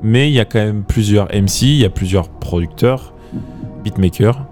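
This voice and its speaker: A man hosting a blind-test music quiz, giving hints and trivia in relaxed, teasing chat. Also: on the low side, moderate pace. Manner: explains track trivia at length, teasing.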